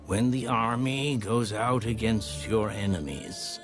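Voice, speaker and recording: Man, low voice, very slow solemn scripture narration, music behind. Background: background music.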